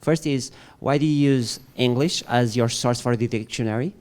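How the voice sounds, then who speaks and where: Clear-voiced man with a Portuguese accent. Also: mid-range voice, moderate pace, a little mellow. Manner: searching for words. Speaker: audience member asking a question. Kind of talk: spontaneous technical question.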